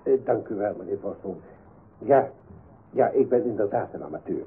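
An actor playing an earnest, self-important amateur researcher in a radio comedy, with a husky voice and portentous delivery. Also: male voice, fairly low pitch, lively intonation, moderate pace, heavily acted. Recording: narrow-band old radio recording.

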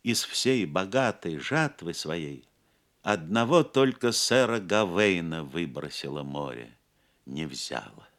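Male narrator for legend audio play: deep, unhurried, grave and theatrical, widely varied intonation. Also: animated, a bit bright. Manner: solemn, theatrical, measured. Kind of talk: dramatic scripted storytelling, audio play.

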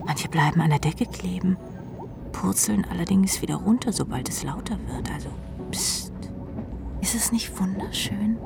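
A woman's voice: on the low side, moderate pace, gravelly, over music. An actress playing a dreamy, sardonic rock drummer narrating her thoughts in a radio drama, dry and intimate, often half-whispering. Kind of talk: radio drama inner monologue.

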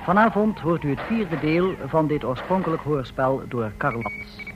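A man's voice: fairly high pitch, animated, normal speed, noisy background. A man announcing a radio drama in a formal style. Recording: dull vintage radio recording. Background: music.

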